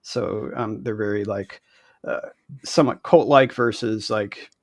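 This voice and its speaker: A man in his thirties, a guest game designer, explaining haltingly on a video call with many "like"s and "um"s. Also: lowish voice, dry recording, clean studio sound. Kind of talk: explaining in casual interview. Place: indoor room, on a video call.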